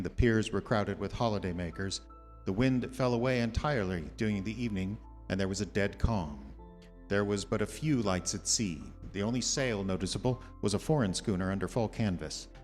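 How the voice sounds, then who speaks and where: Radio horror narrator: grave male doctor acted by a man, low, formal, measured, hall reverb. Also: lightly acted. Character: grave gentleman doctor presenting evidence and reading a news report. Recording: hall reverb, faint music behind.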